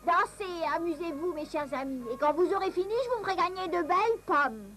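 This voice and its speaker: Shrill, very high wheedling cartoon villainess. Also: female voice, lively intonation, a little fast, a bit bright, faint music behind. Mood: coaxing. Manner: shrill, coaxing, theatrical. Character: disguised evil queen as wheedling fairground apple seller. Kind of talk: French-language cartoon dub acting.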